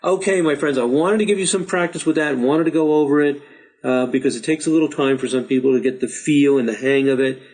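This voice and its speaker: Slightly hoarse man teaching patiently. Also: a little high, steady tone, fast talker, darkish tone. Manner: patient, repetitive, encouraging. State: slightly hoarse, losing his voice. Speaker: astrology teacher. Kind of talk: screen-share software tutorial.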